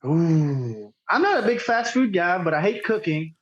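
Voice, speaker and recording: High, husky male guest, lively intonation. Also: a little slow, dull audio. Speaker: podcast guest. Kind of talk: casual chat.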